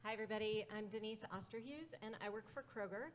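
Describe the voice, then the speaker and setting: A woman speaks as a conference panelist, measured and steady, with frequent fillers. A faint background noise sits under her voice. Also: mid-range voice. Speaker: panelist, foundation president.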